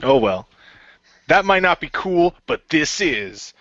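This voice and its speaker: A man with a sharp, swooping voice improvises his part in a radio play, casually mixing dialogue and narration. The recording is close, dry and slightly dull. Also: a little high, very animated, slow, no room sound.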